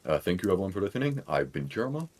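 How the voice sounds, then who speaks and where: A man's voice: sits low, big pitch swings, racing, a little mellow. A man co-hosting a podcast as quizmaster, chatting casually and often stammering as he starts a phrase. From Canada.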